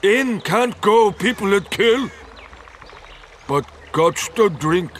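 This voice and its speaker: A man's voice: high voice, lively intonation, takes it slowly, fairly bright tone, slight background noise, exaggerated voice. A man playing a drunken, simple-minded troll in a video game, speaking in broken, childlike sentences. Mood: drunk, mournful. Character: drunken, grieving male troll guarding a bridge. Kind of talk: video game character acting.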